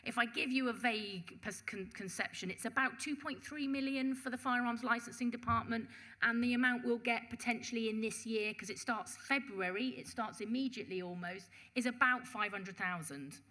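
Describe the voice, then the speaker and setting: Woman, commissioner type, bright clear tone, formal measured budget speech to a council panel. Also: a little high. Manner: measured, reads out figures, occasional restarts. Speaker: police and crime commissioner presenting a budget. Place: council meeting chamber.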